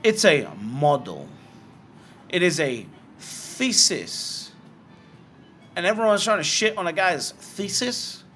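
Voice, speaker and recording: British guy, bit higher voice, blunt and chatty, faint music in the background. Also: very animated, sharp tone. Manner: frank and blunt, with quick rhetorical questions. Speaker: host answering viewer comments. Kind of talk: casual livestream commentary.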